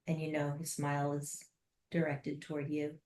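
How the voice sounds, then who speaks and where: Woman, low voice, flat and even, slow soft guided meditation, roomy echo. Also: slight background noise. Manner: slow, soft and unhurried with long pauses.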